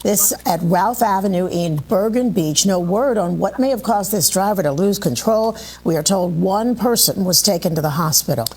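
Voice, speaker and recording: A woman's voice: mid-range voice, expressive, normal speed, few pauses, a little mellow, husky. A woman anchoring television news, reading scripted breaking stories.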